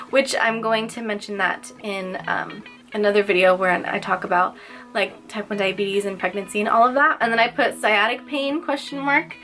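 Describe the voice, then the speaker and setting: A woman's voice: on the high side, fairly bright tone. A young woman vlogging casually, slightly nasal and congested, chatty with lots of filler words. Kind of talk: casual personal vlog.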